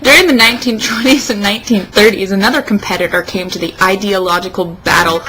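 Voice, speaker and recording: A woman's voice: mid-range voice, fast, sharp tone, poor audio. A young American woman reading aloud in a mock-dramatic, sarcastic way with casual asides. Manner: sarcastic, emphatic delivery. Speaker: co-host.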